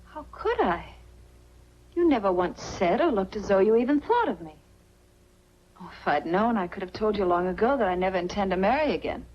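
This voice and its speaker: An actress playing a gentle, guarded young widow with a husky voice and an American accent, in 1940s film style. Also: female voice, medium pitch, sing-song, fairly quick. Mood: guarded, firm. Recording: dull 1940s film soundtrack.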